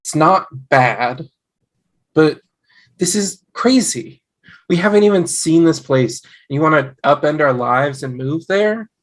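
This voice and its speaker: A man's voice: on the high side, expressive, takes it slowly. Man playing a skeptical young husband. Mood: skeptical, reluctant. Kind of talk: table read acting.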